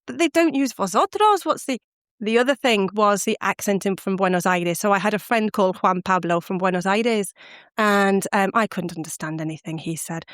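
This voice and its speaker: Woman, middle-aged, from the UK, animated, laughs a lot, crisp voice, no room echo. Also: fairly high pitch, clean studio sound. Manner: animated storytelling, laughs often. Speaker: guest, language course founder. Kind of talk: podcast interview answers.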